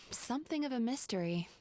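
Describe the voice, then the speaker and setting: A woman voicing a composed, gentle young therapist in a video game, measured, polite and a little wry. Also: on the high side, lively intonation, natural voice. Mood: resigned.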